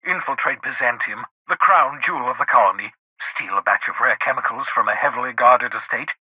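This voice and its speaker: An actor playing an eccentric, excitable fugitive scientist in a video game, an idealistic schemer who speaks in a theatrical, articulate and emphatic way. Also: male voice, mid-range voice, brisk, breathy edge, no room sound, low-quality recording. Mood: scheming, animated. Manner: theatrical, articulate, emphatic, swinging between fervour and muttered asides. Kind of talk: video game character dialogue.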